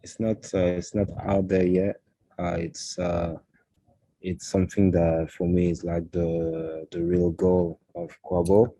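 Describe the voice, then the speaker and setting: A man, a fashion designer speaking as a guest in a casual interview, pausing often and searching for words. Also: sits low, flat delivery, dark tone, no room sound. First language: French. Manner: halting, searching for words, many "uh"s.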